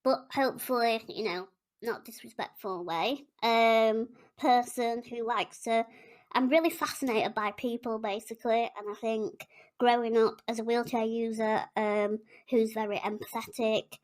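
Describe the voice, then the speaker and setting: A woman with a West Yorkshire accent speaks as a guest on a podcast. Her voice sits a little high, and she talks in a casual, conversational way. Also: steady tone, unhurried. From West Yorkshire, England. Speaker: podcast guest, content creator and consultant. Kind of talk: casual interview chat.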